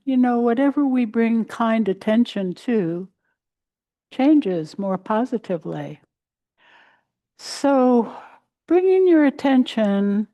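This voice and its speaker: A woman teaching a guided meditation in a gentle, unhurried way, pausing between short phrases. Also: on the high side, dry recording. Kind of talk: guided meditation, body scan.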